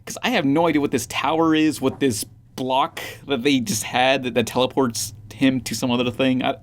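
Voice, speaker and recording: A man's voice: mid-range voice, steady tone, moderate pace. A man hosting a podcast in casual, conversational chat. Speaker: podcast host.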